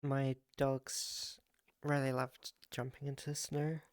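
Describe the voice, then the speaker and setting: A live streamer with a raspy, groggy morning voice, chatting casually with viewers and rambling with many fillers and restarts. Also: medium pitch, level tone, takes it slowly, no echo, studio quality.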